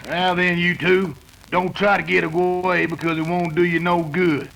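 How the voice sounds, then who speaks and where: A man's voice: high voice, little pitch movement, moderate pace, creaky, fairly bright tone, poor audio. A man playing a bossy cattle-rustling gang leader with folksy, ungrammatical cowboy talk in an old-time radio western. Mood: threatening.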